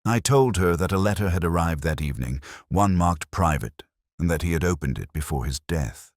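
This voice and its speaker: Man acting melancholy detective narrator, very low voice, expressive rise and fall, measured, literary, scripted radio mystery. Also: no room sound, studio quality. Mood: pensive. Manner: measured, literary, brooding delivery.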